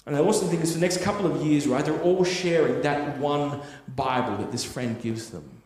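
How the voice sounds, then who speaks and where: A man's voice: fairly high pitch, moderate pace, a little mellow. A man preaching a sermon, telling a story in an earnest, conversational way with frequent tag questions. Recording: echoey hall with long reverb.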